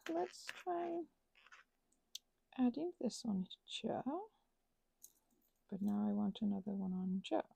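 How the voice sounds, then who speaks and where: A woman with a heavily creaky, husky voice rambles casually in a playful ASMR style. Her pitch swings around as she interrupts herself with asides. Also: dark tone, dry recording. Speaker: ASMR and audio creator.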